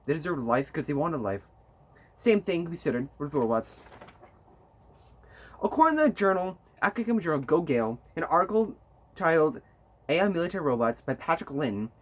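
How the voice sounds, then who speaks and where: A man's voice: on the high side, very animated, a little fast, long pauses. A young man giving a persuasive student speech in a stumbling way, often restarting words mid-phrase. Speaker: student speaker. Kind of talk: rehearsed persuasive speech for a class.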